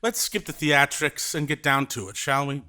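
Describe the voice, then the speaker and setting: A man's voice: a little high, moderate pace, sharp tone. An actor playing a self-assured, theatrical male theater director in an audio drama. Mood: brash. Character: brash confident male theater director, grandiose.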